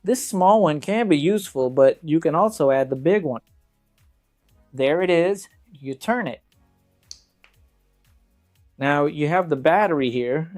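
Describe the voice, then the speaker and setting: A man's voice: a little high, a little mellow. A man presenting a casual hands-on product review, talking conversationally and directly to viewers.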